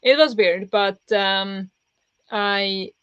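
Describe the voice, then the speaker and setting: Woman rambling on a book stream, pressed kinda raspy voice, dull lo-fi sound. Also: mid-range voice, very slow, dry recording. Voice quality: pressed voice that turns raspy easily. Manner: rambling, with many fillers and restarts. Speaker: book streamer hosting reading sprints. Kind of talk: casual livestream chat with viewers.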